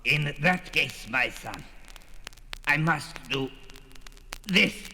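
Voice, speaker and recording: An actor playing a wily, weary old wizard in a fantasy audio drama, with a gravelly, sharp voice and slow theatrical delivery. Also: male voice, fairly high pitch, animated, slight background noise, full character voice. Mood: grave resolve. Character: wily, weary old wizard and father, feeble yet powerful. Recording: reverberant 1970s record with music.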